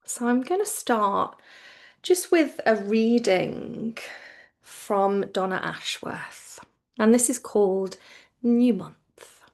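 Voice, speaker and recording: A woman leads a guided relaxation as a yoga teacher. Her delivery is very slow and soothing, with plenty of creak in the voice. She speaks in a hushed way, her phrases softening toward a whisper. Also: fairly high pitch, expressive.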